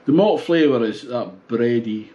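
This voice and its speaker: A man in his sixties casually reviewing a beer at home, thinking aloud in a relaxed, musing way with pauses. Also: mid-range voice, dull audio. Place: small home bar room, indoors.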